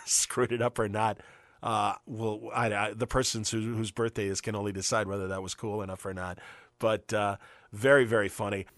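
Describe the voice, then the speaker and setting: Lowish-voiced male podcast host. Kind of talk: casual podcast outro commentary.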